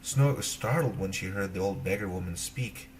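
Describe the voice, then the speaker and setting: A man narrating a children's fairy tale as an expressive storyteller, in simple phrases. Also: low voice, fairly quick, a little hiss or hum, rough recording.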